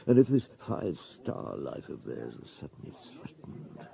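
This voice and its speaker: A man acting a middle-aged, thoughtful French police superintendent in a radio drama, terse and deliberate, with musing hums. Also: rumbling low, big pitch swings, vocal fry, dark tone, heavily acted.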